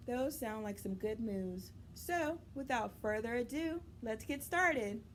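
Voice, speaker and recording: A woman with a high voice reads a picture book to young children as a story time host. She takes her time, expressive and deliberate, addressing the listeners directly. There is noticeable noise behind her.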